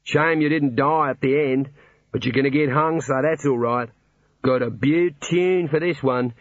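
A man's voice: mid-range voice, moderate pace, dry recording, muffled recording, pitched above the performer's own voice, close to an everyday voice. Man playing an eager, nosy Australian ballad writer. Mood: eager, prying. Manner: colloquial, persistent, polite.

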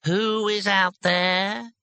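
A man voicing a pompous, haughty old male wizard schoolmaster in an audiobook, speaking slowly and deliberately. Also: high voice, sing-song, bright, forceful tone, no echo, pitched above the performer's own voice, exaggerated voice. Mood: wary, slow. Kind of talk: audiobook character voice.

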